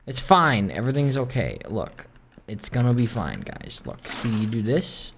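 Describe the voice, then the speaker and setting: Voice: mid-range voice, very animated, moderate pace, gravelly, slight background noise. A gaming video host giving casual, rambling commentary in a self-deprecating, ranting way that wanders from one thought to the next.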